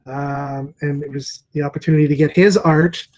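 A man in his forties hosting a casual video call chat, relaxed and chatty with many fillers, talking through earphones in a room lined with comic books. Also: on the high side, moderate pace. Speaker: comic shop owner and host. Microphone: earphone headset on video call.

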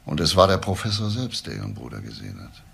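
A male actor playing a deliberate, insistent police investigator in a crime radio play. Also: a bass voice, very animated, vocal fry, a bit bright, husky, exaggerated voice. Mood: probing. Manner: deliberate, pointed, questioning. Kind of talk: radio crime drama acting.

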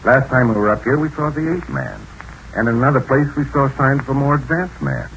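An actor in 1940s radio acting style playing a calm, inquisitive adventurer. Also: male voice, mid-range voice, brisk, low-quality recording. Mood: inquiring. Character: adventurer Jack, steady and probing. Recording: old radio broadcast with faint hiss.

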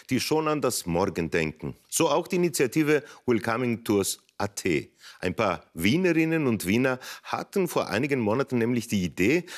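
A middle-aged man presenting a TV magazine segment from a studio in a measured, formal delivery. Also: medium pitch.

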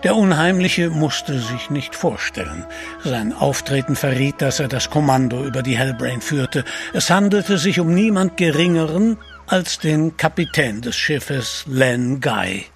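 A man's voice: medium pitch, expressive, a little slow, gravelly. A man narrating a scripted sea adventure audio drama as its storyteller. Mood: storytelling. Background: music behind the voice.

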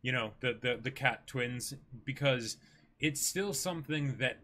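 A man's voice: medium pitch, unhurried, bright, forceful tone. A man in his twenties co-hosting a livestream, giving casual, conversational commentary. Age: 20s. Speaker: co-host of a livestream. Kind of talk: casual chat, commentary on a manga. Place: small indoor room with a backdrop.

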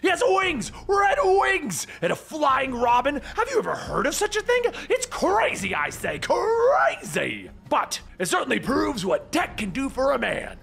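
Manic, shrill, gleeful clown villain. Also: male voice, wildly swooping pitch, a little slow, gravelly, few pauses, bright, forceful tone, faint music behind, heavily acted. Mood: manic ranting.

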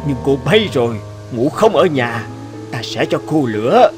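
A jovial, flirtatious ogre from a cartoon fairy tale, played by a man. He is reassuring and helpful, very animated, with faint music behind. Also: mid-range voice, a little slow.

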